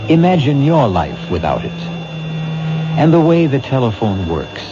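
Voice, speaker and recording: A man hosting a 1970s radio mystery show, narrating in a measured, wry, theatrical way. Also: lowish voice, heavy background noise. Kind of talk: scripted radio drama host narration. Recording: dull old radio broadcast with music.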